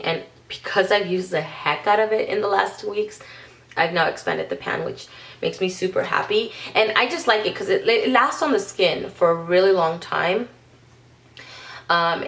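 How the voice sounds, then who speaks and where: A woman with a slightly low voice, stuffed up and under the weather, talks through a casual makeup vlog. She rambles in a chatty way, full of ums and little self-corrections. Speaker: beauty vlogger.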